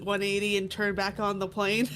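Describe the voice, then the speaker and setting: A woman's voice: mid-range voice, monotone, moderate pace, a bit bright. A congested woman with a stuffy nose, a player who rambles with frequent filler words and laughter.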